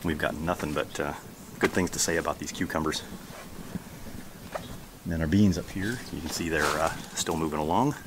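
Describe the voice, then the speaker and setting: American male homesteading vlogger, middle-aged, extremely low voice, casual and rambling, noisy outdoor camera audio. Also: moderate pace, long pauses. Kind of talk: casual garden tour vlog. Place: outdoors in a backyard garden. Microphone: camera held at arm's length. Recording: outdoor handheld recording with background noise.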